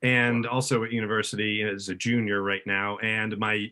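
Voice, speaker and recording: A man's voice: fairly low pitch, little pitch movement, moderate pace, bright, forceful tone. A middle-aged man speaking as a podcast host, introducing and interviewing a guest in a friendly way.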